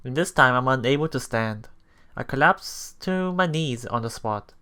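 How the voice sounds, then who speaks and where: A man's voice: mid-range voice, animated, normal speed, very noisy. A man reading a visual novel aloud as a let's play host, stumbling and rereading lines as he goes. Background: faint music.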